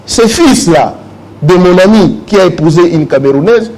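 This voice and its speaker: A man's voice: high-pitched, lively intonation, moderate pace, darkish tone, low-quality recording. A man speaking as a jurist guest in a morning interview, explaining legal texts and reading articles aloud to the host. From Democratic Republic of the Congo.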